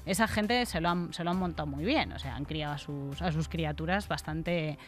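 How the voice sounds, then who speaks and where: A woman's voice: deep voice, moderate pace, faint music behind. A middle-aged woman with a Spain accent, co-hosting a podcast in casual chat at a close studio microphone. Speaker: podcast co-host. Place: small radio studio. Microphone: close boom-arm studio microphone.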